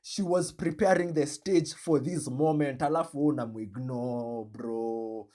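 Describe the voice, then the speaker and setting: A man with a Kenyan accent hosting a reaction video, commenting in an animated, joking way and switching between slang and English. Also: medium pitch, unhurried. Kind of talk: commentary on a played clip.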